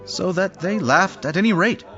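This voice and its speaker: A man's voice: high voice, normal speed, sharp tone. A man narrating a dramatised story in a wry, theatrical manner. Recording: dull audio with music behind.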